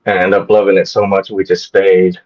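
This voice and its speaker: A man's voice: deep voice, level tone, fairly quick. A man originally from Vietnam, a guest on a live show, telling a casual story in a rambling, hesitant way with many restarts. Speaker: guest kayak angler. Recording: muffled lo-fi audio.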